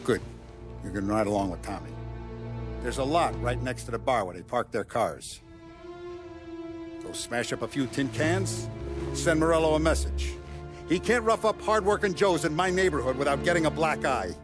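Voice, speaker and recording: A man's voice: medium pitch, animated, normal speed, pauses often, music in the background, close to an everyday voice. A man playing a calculating mafia boss who gives orders with authority in a video game cutscene. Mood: menacing.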